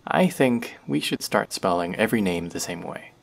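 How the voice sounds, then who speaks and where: A man's voice: lowish voice, lively intonation, normal speed, vocal fry. A man playing a chatty young barista who teases and rambles in a friendly way, in a scripted audio roleplay. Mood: rambling. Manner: playful, rambling, teasing.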